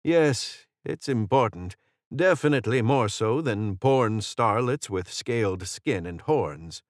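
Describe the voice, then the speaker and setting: A man voicing a composed older woman in authority, direct and measured, in an audiobook story. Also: mid-range voice, lively intonation, no room sound, clean studio sound. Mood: brisk, dry.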